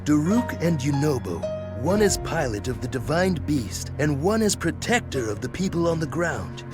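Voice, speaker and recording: A man's voice: on the high side, big pitch swings, normal speed. A man narrating a fantasy game as a solemn, scripted storyteller. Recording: music behind the voice.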